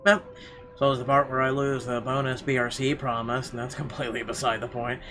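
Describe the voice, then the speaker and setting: A man's voice: mid-range voice, little pitch movement, fairly quick. A man doing a let's play, reading visual novel narration aloud expressively with occasional stumbles. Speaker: let's player. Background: music.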